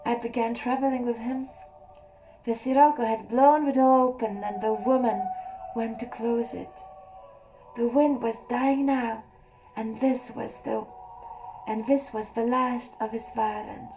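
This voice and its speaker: A woman reading a first-person erotic story aloud in a slow, intimate way, with soft pauses. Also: on the high side, steady tone, music in the background, poor audio. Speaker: audiobook narrator.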